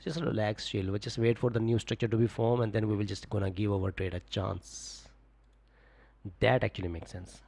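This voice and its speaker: A man's voice: lowish voice, normal speed, creaky. A young man, talking casually on a live stream about trades and reading out viewer comments, full of "just, like" fillers. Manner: relaxed, repetitive fillers, coaching viewers. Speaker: forex trading streamer.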